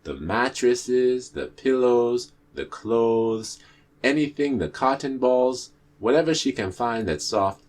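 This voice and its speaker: A man's voice: medium pitch, expressive, takes it slowly, darkish tone, voice pushed up. A man voicing a sly, greedy male tortoise trickster who wheedles and pleads, stammering as he begs. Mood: pleading.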